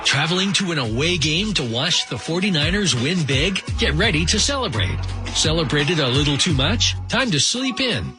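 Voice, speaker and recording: A man's voice: on the high side, sing-song, moderate pace. An American man reading a scripted radio commercial as a voice-over. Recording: music bed behind the voice.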